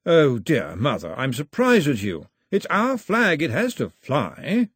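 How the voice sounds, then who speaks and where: A man's voice: fairly high pitch, wildly swooping pitch, unhurried, fairly bright tone, husky, dry recording, studio quality, pitched above the performer's own voice, exaggerated voice. A man playing a clipped, grieving upper-class father. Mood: wry. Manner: clipped, understated, firm.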